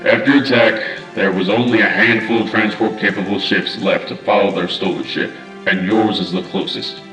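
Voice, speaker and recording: A man's voice: on the low side, steady tone, normal speed, a bit bright, natural voice. A man voicing a grave robot military commander, formal and solemn, in an amateur comic dub. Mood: grave, urgent. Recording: roomy, with music bed.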